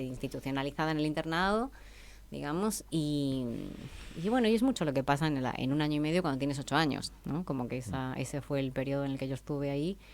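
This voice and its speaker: A woman in her forties from Spain with a Spanish accent, a guest author talking in a radio interview, reflective and hesitant with many fillers. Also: a bass voice.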